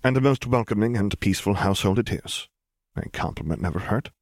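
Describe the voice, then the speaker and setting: A man's voice: fairly low pitch, expressive, fairly quick, a little mellow, no echo, clean studio sound, close to an everyday voice. A man voicing a polite, reserved imperial admiral with a formal manner in an audiobook. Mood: courteous. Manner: formal, courteous.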